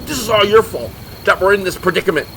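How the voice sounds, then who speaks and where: An actor plays an exasperated male monster hunter in a comedy audio drama. His voice runs high and jumps around with sarcastic indignation. Outdoor noise sits clearly behind him. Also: lively intonation, quick pace, bright, forceful tone, exaggerated voice, low-quality recording. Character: exasperated, foul-mouthed brother in monster hunting trio.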